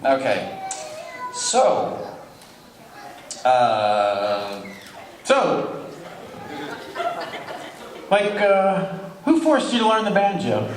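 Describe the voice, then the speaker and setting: A man's voice: high-pitched, huge pitch swings, very slow, pauses often. Man hosting from far off in echoey hall. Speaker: show host. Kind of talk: old-time radio show emceeing and interview. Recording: echoey hall, distant noisy microphone.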